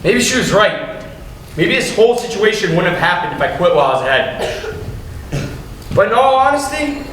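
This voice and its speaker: A high-pitched, breezy young con artist, played by a young man on an amateur stage. His delivery swings up and down, unrepentant and easygoing, heard from far off in a very echoey hall. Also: fairly bright tone. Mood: reflective. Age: teens or young adult. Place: school theater stage. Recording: echoey stage, distant mic, faint noise.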